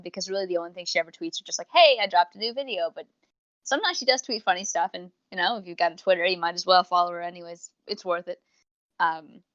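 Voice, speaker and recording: A woman's voice: lowish voice, fairly bright tone, no room sound. A woman co-hosting a podcast over an online voice call, casual, teasing and irreverent. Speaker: podcast co-host. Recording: dull, lo-fi voice-chat line.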